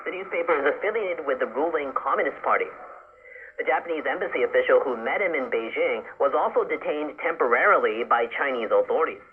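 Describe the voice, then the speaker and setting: A man's voice: mid-range voice, expressive, quick pace, slight background noise. Male newsreader on thin, tinny shortwave radio. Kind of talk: scripted news bulletin reading.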